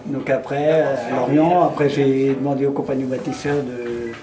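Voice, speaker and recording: Halting volunteer man in echoey room. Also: mid-range voice, fairly even intonation, music in the background. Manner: halting, many fillers. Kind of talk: casual interview.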